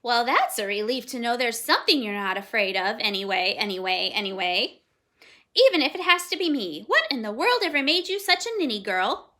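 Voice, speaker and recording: A woman's voice: a little high, sing-song, fairly quick, voice pushed up. Penelope, a woman doing a bossy parrot. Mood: scolding. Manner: repeats the ends of her phrases two or three times; scolding, sharp and emphatic. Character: bossy talking green parrot, female. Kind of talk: storybook read-aloud.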